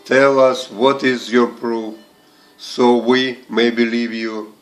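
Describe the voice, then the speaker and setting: A man reading verse aloud in a measured recitation, in short deliberate phrases set apart by pauses. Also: medium pitch, little pitch movement, faint music behind. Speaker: poetry reader and translator. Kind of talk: slow, measured poetry recitation.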